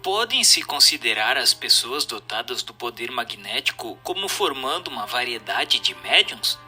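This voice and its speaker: A man's voice: mid-range voice, very animated, moderate pace, pushed and bright. A man reading a scripted narration in a formal, didactic way with careful enunciation. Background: music.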